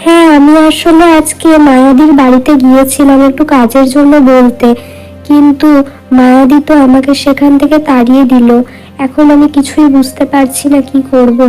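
High-voiced, humble village wife played by a woman. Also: steady tone, moderate pace, warm tone, faint music behind, lightly acted, rough recording. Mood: worried. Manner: polite, earnest, deferential. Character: poor, humble, kindly village wife seeking work. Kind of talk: Bengali moral-tale cartoon dubbing.

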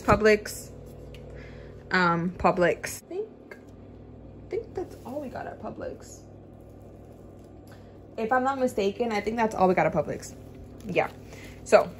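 A young adult woman chatting casually and enthusiastically to viewers as a vlogger in a home kitchen. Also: fairly low pitch. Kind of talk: casual grocery haul vlog chat. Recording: clear background noise and room ambience.

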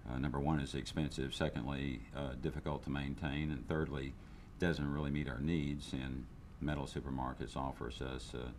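A man's voice: rumbling low, little pitch movement, normal speed, hardly any pauses, darkish tone, a little hiss or hum. An older man, a business owner, speaking candidly in a seated interview, with frequent restarts and fillers. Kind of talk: interview testimonial. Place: indoor room, seated interview. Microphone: lapel.

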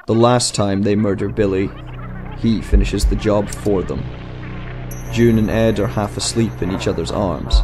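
A man's voice: lowish voice, fairly even intonation, a little slow, a little mellow. A man reading a scripted short story as a dramatic narrator. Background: music.

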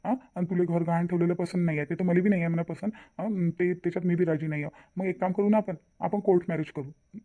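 A man's voice: high voice, fairly even intonation, fast, phone-quality sound, natural voice. A man with a Varhadi Marathi accent voicing a devoted, insistent young fiance in a cartoon family drama. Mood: persuasive. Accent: Varhadi Marathi from Vidarbha. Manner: colloquial, emphatic repetition.

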